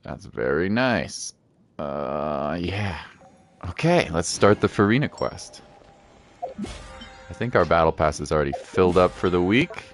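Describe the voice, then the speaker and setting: A woman's voice: very deep voice, lively intonation, a little slow, pauses often, faint music behind. A woman giving casual live gameplay commentary as a streamer, rambling and thinking aloud. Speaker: game streamer.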